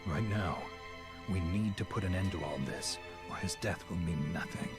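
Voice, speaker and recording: Guy doing a really low grave warrior voice, terse and resolute, game cutscene w/ music behind. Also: normal speed, natural voice. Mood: solemn resolve. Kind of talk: dramatic video game voice acting.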